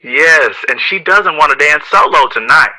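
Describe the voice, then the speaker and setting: Playful jazz composer, very bright crisp voice, animated swoops, flowery arch phrasing, 1960s period audio drama. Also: male voice, fairly high pitch, normal speed, low-quality recording. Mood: playful, conspiratorial. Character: witty, theatrical, playful male jazz composer in 1965.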